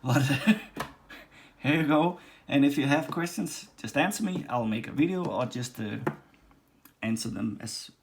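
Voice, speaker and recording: A man from Denmark with a Danish accent, casually demonstrating and reviewing a leather machine, with many pauses and restarts. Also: medium pitch. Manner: halting, many ums and restarts. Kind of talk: casual workshop demo. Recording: faint background noise and clicks.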